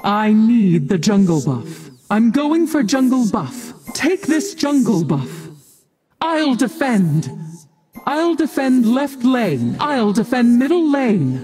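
A woman's voice: on the high side, a little mellow. A woman playing a cold, otherworldly chaos goddess in a video game, speaking in a solemn, commanding, detached manner. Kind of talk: video game character voice lines.

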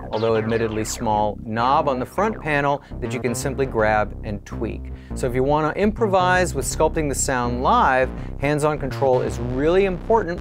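A middle-aged man narrating a scripted product overview in a clear, explanatory way with varied emphasis. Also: medium pitch, poor audio. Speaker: product video presenter. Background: music and synthesizer playing.

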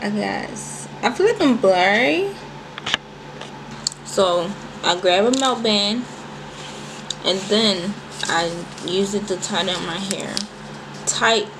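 A woman's voice: on the high side, background noise. A young woman in her twenties talking casually and animatedly to viewers, calling them y'all, while filming a vlog in her bedroom on a handheld phone. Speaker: vlogger. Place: small bedroom, indoors. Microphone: handheld phone camera, then propped on desk.

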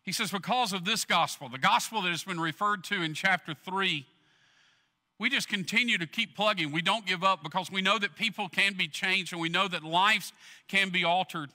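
A middle-aged man preaching a sermon from a church stage, earnestly swelling loud, then dropping low. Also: moderate pace, in a large hall. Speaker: pastor.

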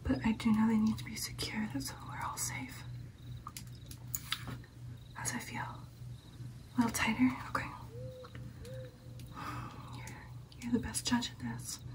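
A protective vampire girlfriend, acted by a young woman speaks in a breathy whisper for an ASMR roleplay. Her delivery is even and reassuring, with little melodic movement, and sits somewhat off the microphone. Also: a little high, unhurried, long pauses, distant mic, close to an everyday voice. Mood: caring, attentive. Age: young adult.

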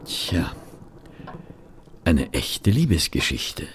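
An actor in a German audio drama playing a genial, puzzled gentleman companion to a detective, hesitant and good-natured. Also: very deep voice, big pitch swings, very slow, slight background noise, heavily acted. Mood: pensive.

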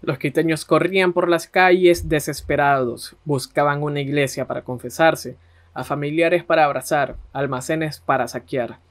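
A man's voice: a little high, fairly even intonation, normal speed. A man narrating conversationally as a podcast host.

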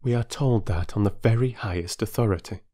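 Reader doing a soft-spoken, earnest, dutiful woman who explains slowly, in a dry close read. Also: no echo, natural voice. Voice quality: gentle low voice. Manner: patient, slightly superior explaining. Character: gentle, earnest, dutiful middle-aged woman. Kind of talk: audiobook character voice.

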